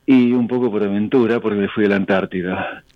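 A man in his sixties from Buenos Aires with an Argentine Spanish accent, speaking calmly and hesitantly with many fillers as a radio guest. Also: lowish voice, brisk, highs cut off. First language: English. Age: 60s. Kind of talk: casual radio interview.

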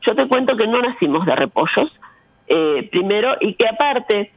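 A woman's voice: medium pitch, sing-song, moderate pace, dry recording, highs cut off. A woman in her fifties with an Argentine accent, speaking frankly and emphatically as an interview guest, often with rhetorical questions. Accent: Argentine Spanish. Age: 50s. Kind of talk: candid conversational interview.

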